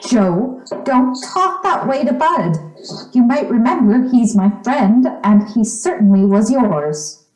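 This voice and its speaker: A woman's voice: fairly high pitch, very animated, slow pace, a little mellow. A woman playing an earnest young peacemaker in an old-time radio drama, speaking in a pleading way. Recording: roomy, echoing recording.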